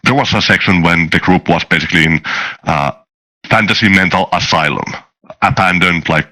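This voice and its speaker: A man's voice: low-pitched, moderate pace, sharp tone, low-quality recording. A man telling game-master anecdotes casually on a podcast, circling back and repeating phrases as he goes.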